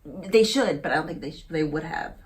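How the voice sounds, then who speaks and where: A woman co-hosting a casual chat, talking in an animated, emphatic way as she reacts to a clip. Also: low voice, fairly quick. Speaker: co-host.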